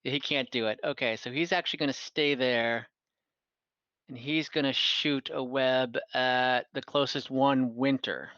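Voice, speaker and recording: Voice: mid-range voice, fairly even intonation, a little slow, fairly bright tone, clear, resonant tone. A game master running an online tabletop game, improvising narration and often thinking aloud with hesitation. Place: room, online voice call. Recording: muffled, echoey room.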